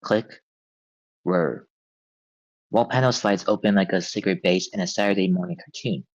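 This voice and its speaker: A man's voice: deep voice, moderate pace, no room sound, highs cut off. A man reading scripted stage directions as the narrator of a radio play table read.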